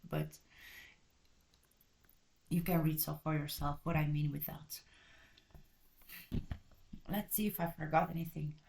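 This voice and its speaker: Host, female, from Belgium, lowish voice, casual review delivery, rambling and self-correcting, blunt. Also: fairly even intonation, measured pace, gravelly, long pauses, a little mellow, a little hiss or hum. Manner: blunt and direct. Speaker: channel host reviewing a book.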